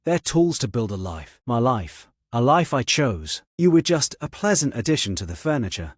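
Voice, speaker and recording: A man voicing a cold, controlling, deceitful girlfriend holding a gun in an audio story, flat and menacing in manner. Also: mid-range voice, moderate pace, no echo, studio quality. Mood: bitter, self-justifying.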